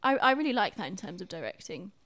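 A woman's voice: mid-range voice, big pitch swings, quick pace, a bit bright, no room sound. A young woman hosting a casual arts chat, speaking informally with self-deprecating hedges, close to the microphone. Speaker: podcast host, theatre director.

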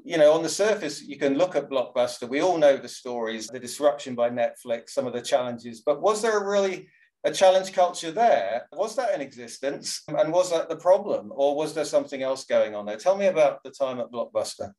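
A man's voice: medium pitch, animated, a little fast, a little mellow. A man interviewing a guest, asking inquisitive questions built up in layers.

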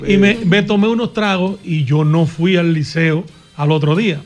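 A man's voice: on the high side, moderate pace, soft background music. A man from the Dominican Republic with a Dominican accent, telling casual, colloquial anecdotes as a guest on a radio show. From Dominican Republic, grew up in the small town of Castillo.

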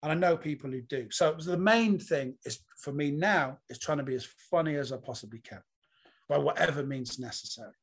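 A man's voice: a little high, fairly quick, slightly rough. A man, a comedian being interviewed, talking in a reflective, rambling storytelling way. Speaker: comedian guest. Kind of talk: casual interview chat.

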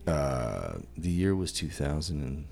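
A man's voice: extremely low pitch, sing-song, takes it slowly, creaky, a little mellow, faint music behind. A man hosting a casual interview, asking rambling questions and teasing his guest. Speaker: podcast host.

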